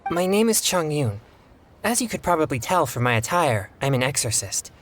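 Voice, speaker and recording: An actor playing a polite, earnest young exorcist in a video game, with formal, measured phrasing. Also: male voice, on the high side, sing-song, soft background music. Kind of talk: video game character dialogue.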